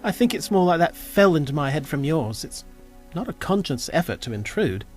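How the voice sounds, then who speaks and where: A man's voice: on the high side, big pitch swings, moderate pace. A man playing an earnest, flustered young time lord on the run, hesitant and rambling, in an audio drama. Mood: gently earnest. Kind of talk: audio drama character dialogue. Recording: music behind the voice.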